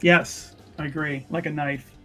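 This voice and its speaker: A man plays a composed fantasy noblewoman in a tabletop roleplaying game, pitched a little high. The words come out measured and halting, diplomatic yet pointed. Faint music runs underneath. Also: steady tone, bright, forceful tone. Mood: dry, pointed. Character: composed noblewoman confronting a rival mage, courteous but pointed. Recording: music behind the voice.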